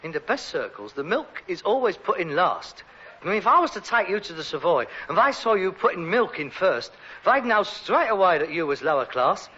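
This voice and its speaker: A man's voice: high voice, very animated, normal speed, bright, forceful tone, slightly rough, heavily acted. A man playing an exasperated, pretentious Cockney rag-and-bone man, a put-upon grown son in a sitcom, whining and indignant. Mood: snooty. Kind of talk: British sitcom acting. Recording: dull, noisy old sitcom recording.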